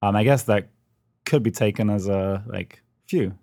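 A man's voice: lowish voice, normal speed, warm tone, no room sound. A middle-aged man co-hosting a podcast over a call, talking casually with many ums and a dry, self-mocking humor. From United Kingdom. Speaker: podcast co-host. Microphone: remote call.

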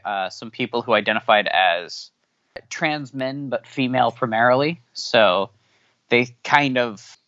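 A man whose first language is not English answering interview questions candidly, halting with many fillers and restarts. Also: medium pitch, fairly bright tone, dry recording. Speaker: podcast guest. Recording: dull lo-fi audio.

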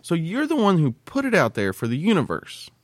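A man's voice: fairly high pitch, huge pitch swings, brisk, no echo. American man in blunt, dry-sounding podcast banter. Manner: blunt, crude, colloquial. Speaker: podcast co-host.

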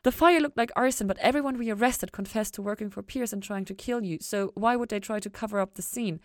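A woman's voice: mid-range voice, fast, no echo, clean studio sound, pitched above the performer's own voice, lightly acted. A woman voicing a kind, curious young woman in an audiobook story, speaking casually and expressively with frank, teasing eagerness. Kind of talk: acted fan fiction audiobook dialogue.

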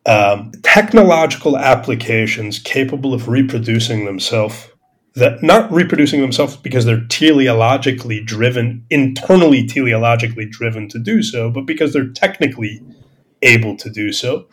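A man speaking as a podcast host in an extended intellectual discussion, academic and discursive, searching for words and repeating phrases as he stresses key terms. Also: mid-range voice. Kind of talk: extended intellectual discussion, answering listener questions.

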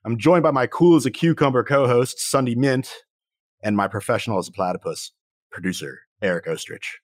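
A man's voice: mid-range voice, fairly quick, dry recording, clean studio sound. A man hosting a tech podcast, upbeat and playful, with a casual chatty manner. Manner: upbeat, playful, repeats words. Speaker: podcast host. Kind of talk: podcast intro and interview.

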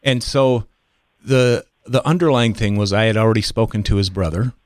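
A man in his fifties telling a personal story as a podcast guest in a reflective, halting way. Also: lowish voice, no room sound, clean studio sound. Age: 50s.

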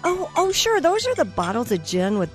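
A woman plays an easily charmed American fiancee in a radio comedy sketch. She is gushing and playful, high-pitched and very animated over loud band music. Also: normal speed.

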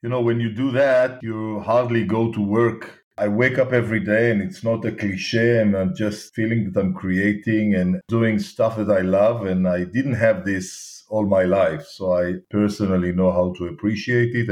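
A man in his fifties with an Israeli accent, a podcast guest speaking earnestly in short phrases with pauses and fillers. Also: fairly low pitch, darkish tone. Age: 50s. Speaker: guest, startup founder. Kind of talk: conversational interview.

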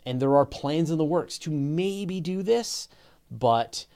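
A man's voice: fairly high pitch, expressive, slow, warm tone, smooth. Canadian guy hosting a live q&a, explains stuff step by step, chatty. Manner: conversational, explanatory, builds ideas step by step. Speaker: host of a space podcast. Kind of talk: livestream Q&A, explaining astronomy to viewers.

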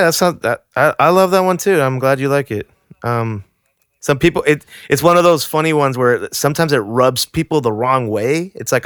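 A relaxed man in his thirties from the Bay Area talks as a podcast guest. His voice is smooth and resonant and sits at an ordinary pitch. He often trails off and restarts, and the sound is close and dry. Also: no room sound. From San Francisco Bay Area. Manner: laid-back, trails off and restarts, laughs easily. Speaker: guest, rapper. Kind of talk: casual interview chat.

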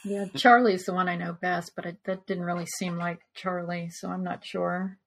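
A woman's voice: fairly low pitch, little pitch movement, moderate pace, gravelly. A woman chatting casually as a co-host on a review podcast. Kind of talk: casual chat.

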